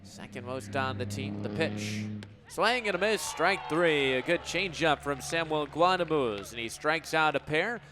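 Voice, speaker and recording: Higher-voiced male baseball play-by-play announcer. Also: expressive, measured pace, background noise. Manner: punchy clipped calls that swell on big plays. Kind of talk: live sports play-by-play commentary.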